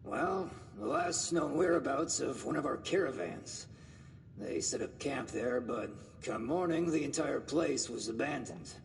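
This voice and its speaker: An actor playing an easygoing caravan guard in a video game, a loyal underling with a casual, expressive delivery. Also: male voice, medium pitch, a little slow, vocal fry, big-room sound, distant mic, slight background noise. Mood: matter-of-fact. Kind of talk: video game character dialogue.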